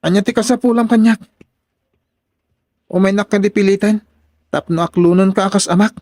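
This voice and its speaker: Man acting young adopted son, hurt and indignant, radio drama, high-set male voice, dry studio sound. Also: moderate pace, a little mellow, no echo, lightly acted.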